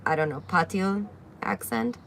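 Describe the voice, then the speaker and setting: Young woman from Syria, mid-pitched, chatty livestream host reading comments, phone mic outdoors with light street noise. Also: a little slow, creaky, a bit bright. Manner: chatty, reads viewer comments aloud, many fillers. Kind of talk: casual livestream Q&A. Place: outdoor balcony with greenery. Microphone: phone camera at arm's length.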